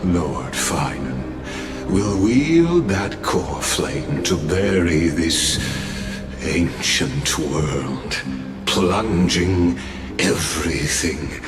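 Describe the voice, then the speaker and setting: Theatrical courtly villain, deep, very slow, swooping intonation, taunting, fantasy game, echo, music behind. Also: male voice, animated, exaggerated voice. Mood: grandiose, foreboding. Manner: grandiose, deliberate, taunting. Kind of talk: dramatic video game voice acting. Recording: echoing reverb, music behind.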